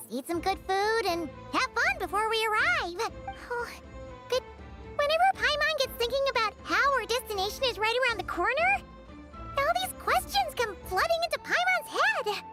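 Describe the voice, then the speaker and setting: A childlike fairy sidekick from a video game, squeaky and extremely high. The delivery is perky and animated, eager but easily flustered. Loud music plays behind the voice. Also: female voice, normal speed. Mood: wistful, anxious. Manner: perky, speaks of herself in third person. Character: tiny childlike floating fairy girl companion, eager, fretful. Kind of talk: video game character acting.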